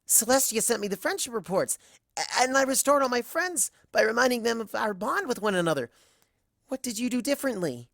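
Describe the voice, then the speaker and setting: A desperate young unicorn mare, as a woman puts her on, pleading in a high voice that keeps climbing. It is recorded in a dry, close room with no echo. The story is read as a storybook. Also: big pitch swings, fairly quick, gravelly. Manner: pleading, voice cracking upward.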